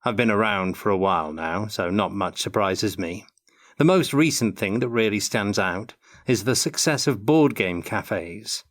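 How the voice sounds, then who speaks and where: Older man with a lowish voice. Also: expressive, a little slow. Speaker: interview guest, tabletop game designer. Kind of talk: prepared answers in a Q&A.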